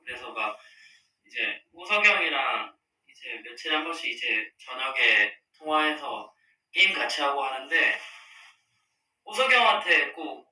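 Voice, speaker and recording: Young male streamer, casual and soft-spoken, mid-pitched, recorded from across a table in an echoey room. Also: far from the mic, a little hiss or hum. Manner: soft-spoken, drifts into murmurs and whispers, laughs often. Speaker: livestream host. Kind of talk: casual livestream chat with fans. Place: room with dark wall at a long table, indoors. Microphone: device camera across the table. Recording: roomy, from slightly off-mic.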